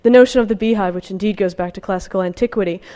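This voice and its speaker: A woman giving a scholarly academic talk, presenting research and reading from texts in a careful, somewhat halting way. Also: mid-range voice, mellow. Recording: long hall reverb.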